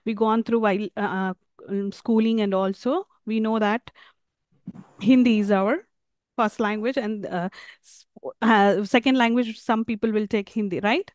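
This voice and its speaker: A woman with an Indian English accent teaching an online class, halting with many fillers and asking questions. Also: mid-range voice, fairly even intonation, dry recording.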